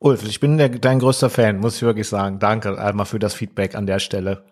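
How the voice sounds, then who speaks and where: Low-voiced male co-host, casual and teasing, blunt jabs. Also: steady tone, fairly quick. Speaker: podcast co-host. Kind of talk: casual chat.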